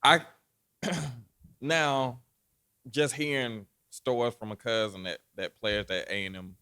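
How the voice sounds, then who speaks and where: A man in casual conversation, telling an unhurried story and often saying "you know". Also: mid-range voice, fairly even intonation, sharp tone, clear, resonant tone. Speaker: guest. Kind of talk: casual chat.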